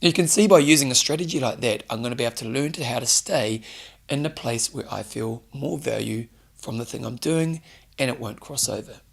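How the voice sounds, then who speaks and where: Gravelly, hoarse New man hosting a fitness talk, earnest and direct with the listener. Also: medium pitch, fairly quick. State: hoarse, losing his voice. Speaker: fitness show host. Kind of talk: solo motivational talk to camera.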